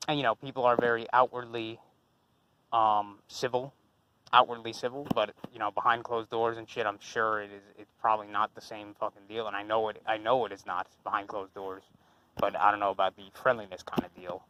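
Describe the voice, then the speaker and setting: A man's voice: on the low side, level tone, fairly quick, muffled recording, noisy background. A young man giving an unscripted commentary response, rambling with many fillers and restarts and swearing casually. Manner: casual and profane. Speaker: channel host.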